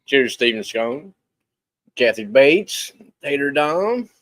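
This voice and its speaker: Bright-voiced, unhurried man co-hosting casually. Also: mid-range voice, very animated, dry recording. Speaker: livestream co-host. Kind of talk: casual chat, greeting viewers.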